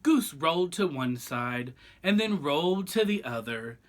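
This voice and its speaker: Male children's storyteller, theatrical, very varied pitch, chats directly to the listener. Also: expressive, unhurried. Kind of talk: expressive picture-book read-aloud with chatty asides.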